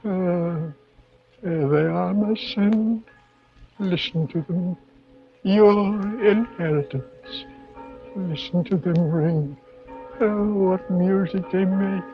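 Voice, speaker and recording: An actor playing a frail, sentimental old father near death, speaking haltingly in a weak voice, in a 1940s radio drama. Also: high-pitched. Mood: rapturous nostalgia. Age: older. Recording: muffled vintage radio broadcast, faint music.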